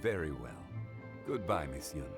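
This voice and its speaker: An actor playing a wise, courteous gentleman in a game, speaking formally and with measured calm. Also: a bass voice. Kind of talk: dramatic game voice acting. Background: music.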